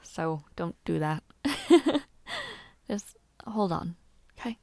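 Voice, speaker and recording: A woman playing a gentle, shy and eager young noblewoman who aspires to knighthood, chatting softly and politely in an intimate audio roleplay. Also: on the low side, sing-song, very slow, no echo, studio quality, full character voice. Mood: cheerful, guiding. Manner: soft-spoken, chatty, polite, slightly bashful, giggly. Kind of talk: intimate audio roleplay, one-sided conversation.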